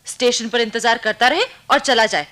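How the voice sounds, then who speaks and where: A woman's voice: a little high, normal speed, bright, forceful tone. A young woman acting in a Hindi radio play as a pragmatic, slightly vain best friend with a girlish, knowing way of talking. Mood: knowing, dismissive. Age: young adult.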